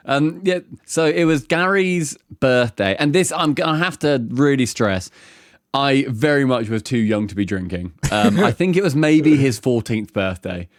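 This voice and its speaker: A man's voice: medium pitch, animated, moderate pace, no room sound, studio quality. A man co-hosting a podcast, telling a rambling anecdote with lots of ums and laughing asides. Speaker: podcast co-host. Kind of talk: casual storytelling chat.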